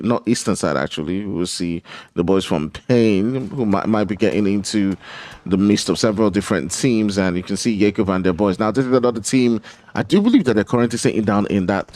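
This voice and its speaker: Nigerian guy casting a mobile shooter match, lowish voice, dry close sound. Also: a little mellow, dry recording. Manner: laid-back, joking, fills pauses with hums. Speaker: esports caster. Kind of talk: live game commentary.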